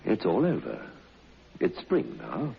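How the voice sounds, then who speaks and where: An actor playing a scheming planter husband, falsely solicitous and coldly sinister, in an old radio horror play. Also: male voice, fairly low pitch, wildly swooping pitch, takes it slowly, creaky, heavily acted. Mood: falsely cheerful. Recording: dull, noisy old radio recording.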